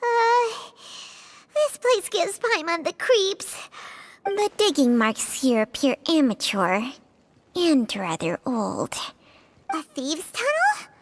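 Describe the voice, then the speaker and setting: A woman's voice: very high-pitched, huge pitch swings, slow pace, a little hiss or hum, exaggerated voice. A woman voicing a small, childlike, jittery fairy companion in a video game. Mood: uneasy, curious. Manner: refers to self in third person.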